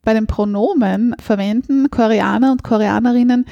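Woman interviewer with a slightly high voice. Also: creaky, dark tone, no room sound, lo-fi sound. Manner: measured, curious questioning. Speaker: interviewer, host. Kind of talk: interview questions.

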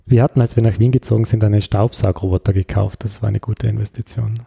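A man's voice: fairly low pitch, fairly even intonation, quick pace, vocal fry, dark tone, slightly rough. A man with an Austrian accent chatting casually as an interview guest, thinking aloud and often searching for his words. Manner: hesitant, reflective, searching for words.

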